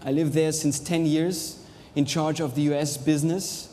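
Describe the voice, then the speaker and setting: An Austrian-born man whose English has an American sound, hosting a wine tasting before a live audience in short, pausing phrases with dry jokes. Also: on the high side, flat delivery, dark tone, a little hiss or hum. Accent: American-learned English over an Austrian base. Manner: showman's pauses, chopped phrases, dry jokes. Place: large hall with a live audience. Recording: reverberant hall, faint ambience.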